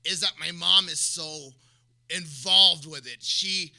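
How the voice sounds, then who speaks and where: High-pitched male stand-up comedian. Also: vocal fry, bright, forceful tone. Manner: self-deprecating, halting delivery. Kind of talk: live stand-up routine and casual interview.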